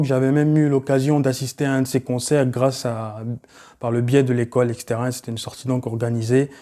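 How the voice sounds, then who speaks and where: A man in his late twenties, originally from Cameroon, chatting casually in a vlog with loose, rambling talk full of fillers. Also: medium pitch. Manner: relaxed and rambling, many fillers like voilà and euh. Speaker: YouTuber.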